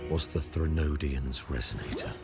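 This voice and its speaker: An actor playing a devout, commanding religious leader in a video game, speaking in grave, measured, pious oratory. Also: male voice, rumbling low. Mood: grave, accusing. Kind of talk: dramatic video game voice acting. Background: prominent background music.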